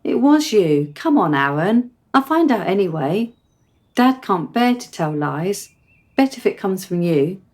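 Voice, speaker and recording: Precocious girl played by an adult woman, articulate, forthright and insistent, mid-pitched, for radio drama. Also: sing-song, unhurried, darkish tone. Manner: articulate, formal wording, insistent. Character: precocious, forthright, sharply probing young girl. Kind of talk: radio play acting.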